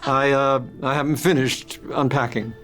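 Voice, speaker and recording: A man playing a suave, teasing vampire in a dramatic table read, courteous and smooth with hesitant pauses. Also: medium pitch, steady tone, a bit bright, faint music behind, close to an everyday voice. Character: suave, mocking adult male vampire, elegant and teasing.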